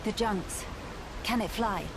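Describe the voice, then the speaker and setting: A grave, regal young noblewoman speaks slowly and formally, at a medium pitch. Clear hiss sits behind her game dialogue. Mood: wary. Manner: formal, measured diction. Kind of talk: video game cutscene acting.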